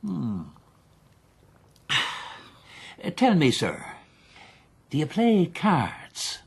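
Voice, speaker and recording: Shabby Irish card-sharp captain, husky, creaky, unhurried, sly, genial, radio drama. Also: male voice, a little high, huge pitch swings, exaggerated voice.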